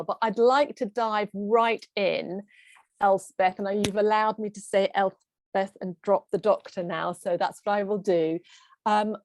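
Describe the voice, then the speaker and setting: Excited lady host on an online summit, bubbly intro, dry close mic. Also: mid-range voice, dry recording. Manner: enthusiastic, effusive introductions. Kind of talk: hosted online interview.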